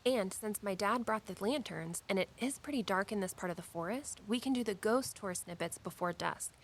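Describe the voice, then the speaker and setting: An actress playing a caring, dreamy female tour guide in an audio drama. She talks in an earnest, conversational way at a medium pitch, with noticeable vocal fry. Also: moderate pace, natural voice. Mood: earnest, intuitive. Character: earnest, mystically minded daughter and walking-tour guide.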